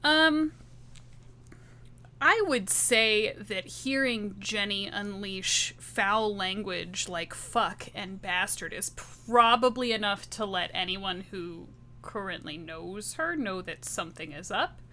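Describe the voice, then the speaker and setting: Bright-voiced woman, slow deliberate player. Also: medium pitch. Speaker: tabletop game player. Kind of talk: narrating her character's actions in a roleplaying game.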